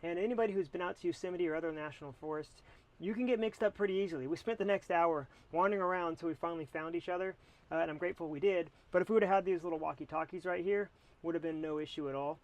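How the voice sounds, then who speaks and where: A man's voice: on the high side, very fast, rough recording. An American man talking casually to camera as he reviews a product.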